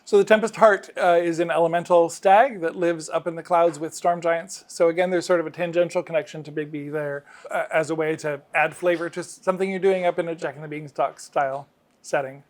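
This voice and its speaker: A middle-aged man with a somewhat high voice talks as a game designer being interviewed. He explains things in a casual, enthusiastic way. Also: fairly even intonation, a little fast. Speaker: game designer interviewed about his work.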